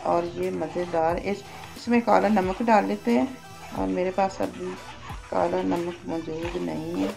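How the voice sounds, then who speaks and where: Middle-aged woman, Pakistani Urdu accent, low and gravelly, talking homely in a home kitchen over background music. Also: poor audio. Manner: homely, chatty, repeats blessings. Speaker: home cooking channel host. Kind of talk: casual cooking demonstration.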